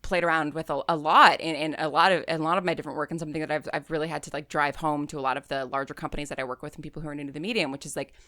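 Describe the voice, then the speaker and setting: A woman's voice: sits low, fairly even intonation, very fast, hardly any pauses, bright, forceful tone, dry recording, studio quality. An American woman talking casually as an interview guest, telling stories and acting out her own thoughts. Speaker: podcast guest, audio drama writer and creator.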